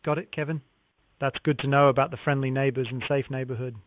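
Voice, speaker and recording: A man's voice: mid-range voice, steady tone, fast. A man working as a real estate phone agent, speaking politely in a scripted sales-call style with formulaic acknowledgements. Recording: narrow, tinny phone line.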